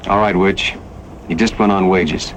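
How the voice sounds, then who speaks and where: Hot-tempered rancher, lowish voice. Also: male voice, fairly even intonation, measured pace, poor audio. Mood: grim resolve. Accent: American. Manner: blunt, scornful. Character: hot-tempered, defiant Old West ranch owner. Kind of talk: Western radio drama acting. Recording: faint noise, old radio drama recording.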